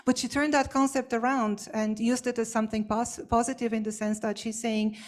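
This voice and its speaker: A woman's voice: a little high, flat delivery, fairly quick. A woman whose first language is Bulgarian, answering a question as a symposium panelist in a hesitant, explanatory way with many fillers. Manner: explanatory and academic.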